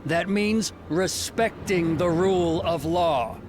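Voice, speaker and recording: Slow, smug frontier lawman. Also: high-pitched, darkish tone, slight background noise. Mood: condescending, menacing. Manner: measured, condescending, formal. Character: smug male deputy chief of frontier lawmen, condescending. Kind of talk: video game voice acting.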